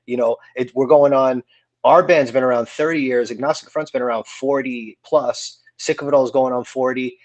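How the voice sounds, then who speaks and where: Man, 40s, podcast guest, casual rambling talk, mid pitch, dry close recording. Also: moderate pace, dry recording. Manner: relaxed, rambling, lots of fillers and restarts. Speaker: hardcore band vocalist, podcast guest. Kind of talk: casual interview chat.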